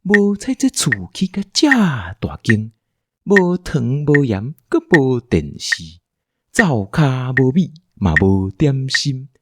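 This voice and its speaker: A man with a Taiwanese accent narrating a comic radio drama in a playful, expressive storytelling style. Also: medium pitch, takes it slowly, no echo.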